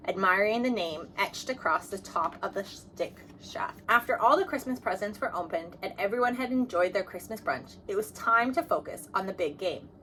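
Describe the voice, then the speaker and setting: A woman narrates a children's picture book aloud. Her voice sits at an average pitch and she reads expressively. There is noticeable noise behind her. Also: normal speed, few pauses, poor audio. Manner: expressive, acts out dialogue. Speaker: storybook reader.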